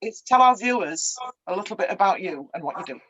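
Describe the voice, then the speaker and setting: A woman's voice: mid-range voice, lively intonation, fast, a bit bright, slightly rough, no room sound, dull audio. A woman in her sixties hosting a live cookalong over a video call from her caravan, chatty and effusive. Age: 60s. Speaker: host. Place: caravan on a campsite.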